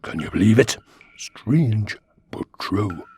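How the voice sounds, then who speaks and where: Husky, cheeky Geordie worm. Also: male voice, fairly low pitch, animated, slow, darkish tone, full character voice. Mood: musing. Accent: Geordie, northeast England. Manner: rambling, blunt, colloquial. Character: cheeky, chatty twenty-foot talking lantern worm. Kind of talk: comic radio sitcom acting.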